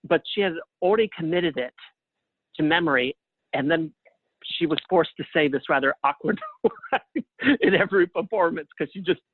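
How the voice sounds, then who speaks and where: A man giving conversational interview answers as a guest, speaking haltingly and thinking aloud as he goes. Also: fairly high pitch, expressive, slightly rough, no room sound. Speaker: guest playwright and librettist. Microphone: phone or call line. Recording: thin phone-line sound.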